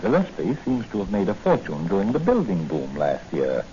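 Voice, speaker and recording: An actor playing a kindly doctor, the hero's friend, in 1940s radio style, speaking in a familiar, slightly hesitant way. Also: male voice, low voice, wildly swooping pitch, moderate pace, warm tone. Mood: friendly, informative. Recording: muffled, noisy 1940 radio recording.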